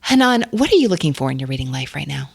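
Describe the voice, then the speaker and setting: A woman's voice: a bass voice, big pitch swings, fast talker, creaky, husky, no echo. A woman hosting a book podcast, talking casually and enthusiastically about books and laughing often. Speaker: podcast host.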